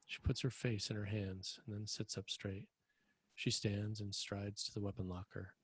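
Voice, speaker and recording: A man reading screenplay stage directions as scripted narration, with a husky, gravelly voice. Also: on the low side, flat delivery, moderate pace, no echo.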